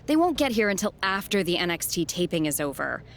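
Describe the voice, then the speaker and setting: A woman's voice: lowish voice, very animated, brisk, slight background noise. A woman playing a pressed wrestling show official asking favors in conversational game cutscene dialogue. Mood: pleading.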